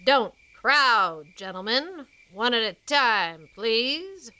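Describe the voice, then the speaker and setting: A woman's voice: on the high side, very animated, takes it slowly, pushed and bright, smooth, no room sound, heavily acted. A woman voicing a saucy parrot that mimics flirty human talk in an audio drama. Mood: coy, squawky.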